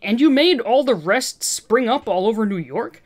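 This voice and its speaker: A woman voicing a quick-witted, curious young male superhero who jokes and stammers a little, in a fan fiction reading. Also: fairly high pitch, expressive, pitched above the performer's own voice. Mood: probing. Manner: joking, slightly stammering, inquisitive.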